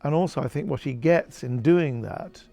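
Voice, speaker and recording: A man with a gravelly voice speaks reflectively in an interview, thoughtful and halting as he searches for his words. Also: fairly high pitch, dark tone.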